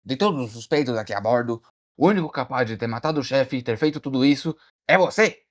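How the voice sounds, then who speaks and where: Man playing a fast-talking, brooding cartoon detective. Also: mid-range voice, expressive, lightly acted. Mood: grave, deducing. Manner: theatrical, deliberating aloud, slangy asides. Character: earnest amateur detective, brooding and easily startled. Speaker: detective character in an animated mystery short. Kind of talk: dramatic monologue, animated short voice acting.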